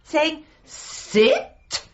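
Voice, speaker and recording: An actress playing a friendly, dryly inquisitive visitor in a radio comedy drama. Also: female voice, extremely slow, muffled recording, slight background noise. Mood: curious, dry.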